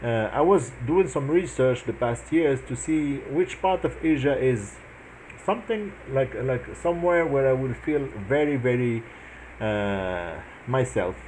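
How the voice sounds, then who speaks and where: A man's voice: mid-range voice, expressive, unhurried, darkish tone, slight background noise, poor audio. A man in his forties from Morocco, earnestly telling in a casual chat how he met his wife, with many restarts and fillers. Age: 40s. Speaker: vlogger answering his wife's questions.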